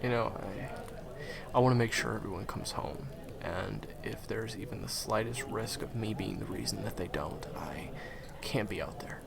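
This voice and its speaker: A man playing a gentle, humble ex-hunter turned guide in an audio roleplay, speaking hesitantly and softly with frequent pauses. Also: on the low side, gravelly, over a noisy crowd. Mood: somber, confessional. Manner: hesitant, soft-spoken, halting with pauses. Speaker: monster hunter's handler in a fan audio roleplay. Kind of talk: intimate conversational audio roleplay acting.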